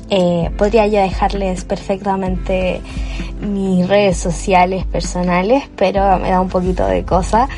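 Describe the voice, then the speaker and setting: A woman's voice: medium pitch, a little mellow. Cheerful young Chilean woman chatting. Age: young adult. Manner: chatty and cheerful, with fillers and restarts. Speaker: podcast host. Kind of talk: improvised solo podcast monologue. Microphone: cheap home microphone.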